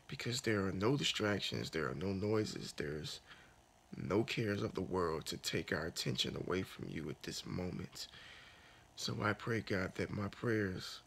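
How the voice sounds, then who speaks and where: Gravelly low-voiced man just woken up. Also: slight background noise. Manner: soft, unhurried, earnest with many restarts. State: raspy morning voice. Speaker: fitness vlogger. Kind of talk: casual morning talk and spoken prayer. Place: dark bedroom, lying in bed. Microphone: phone camera held close.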